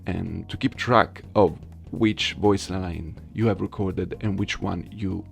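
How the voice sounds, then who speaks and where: A soft-spoken man talking casually and haltingly to his viewers as an amateur voice actor presenting a video. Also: low voice, fairly even intonation, creaky, soft background music.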